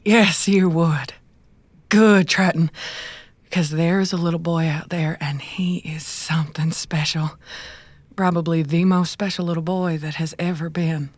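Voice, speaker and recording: A soothing but calculating female handler in a cyberpunk audio drama. She coaxes slowly in a lowish, folksy voice, recorded dry. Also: steady tone, dry recording. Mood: persuasive.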